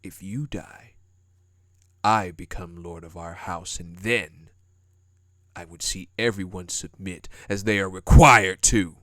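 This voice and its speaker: A man's voice: low-pitched, steady tone, takes it slowly, fairly bright tone, no echo, studio quality. A man playing a haughty, power-hungry prince in a dramatic audio roleplay, with a contemptuous, menacing and formal delivery. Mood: menacing contempt. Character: cold, haughty, power-hungry prince who scorns weakness.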